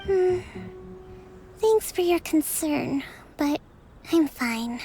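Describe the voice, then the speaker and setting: An actress voicing a gentle young island leader in an anime game, speaking in a formal, measured, diplomatic way. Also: female voice, high voice, expressive, soft background music, heavily acted. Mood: polite, reassuring.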